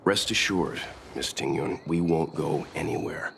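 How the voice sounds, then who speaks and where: A man playing a calm, prudent middle-aged mentor in a video game, speaking in a measured, reasoned and reassuring way. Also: rumbling low, gravelly, a little hiss or hum, full character voice. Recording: music behind the voice.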